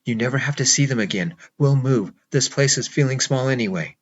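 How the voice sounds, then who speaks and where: A man's voice: mid-range voice, a little fast, lo-fi sound, natural voice. A man voicing a protective boyfriend who speaks firmly and reassuringly. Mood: soothing. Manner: firm and reassuring.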